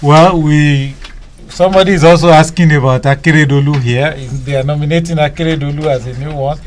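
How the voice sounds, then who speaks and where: A man's voice: on the high side, fairly even intonation, brisk. A male radio host talking casually, with many fillers and restarts.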